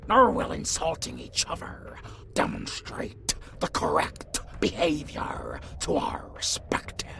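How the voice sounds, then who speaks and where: An actor voicing a courteous monster lord in a fantasy audio drama, speaking formally and diplomatically in a gravelly, breathy voice. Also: mid-range voice, huge pitch swings, slow, in a whisper, exaggerated voice. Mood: polite, measured. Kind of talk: fantasy audio roleplay acting.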